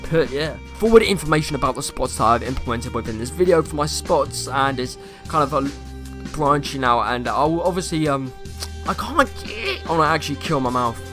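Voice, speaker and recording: Rambling young man, croaky voice. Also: a little high, animated, moderate pace, music in the background. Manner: rambling, self-correcting, asks viewers questions. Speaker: YouTuber. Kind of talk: casual gameplay commentary.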